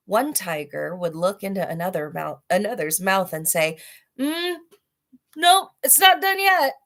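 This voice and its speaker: A woman with a slightly low voice reads to children as a storybook host. Her phrasing is deliberate and dramatic, and she keeps an informal, read-aloud style.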